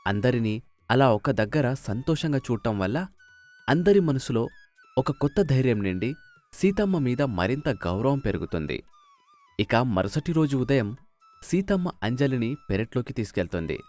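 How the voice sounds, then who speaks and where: Male narrator, medium pitch, animated intonation, scripted storytelling delivery. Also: normal speed, soft background music.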